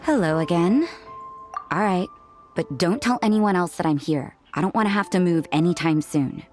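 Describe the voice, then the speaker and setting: A woman's voice: lowish voice, expressive, moderate pace, darkish tone, faint music behind, close to an everyday voice. A woman voicing an aloof, laid-back young woman in a video game, speaking in a languid, dry, matter-of-fact way. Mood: weary, grumbling. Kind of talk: video game character dialogue.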